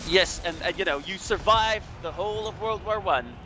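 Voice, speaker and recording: A Belgian man giving casual, halting history commentary over a video game, explaining things hesitantly. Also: high-pitched, noisy background. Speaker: guest commentator.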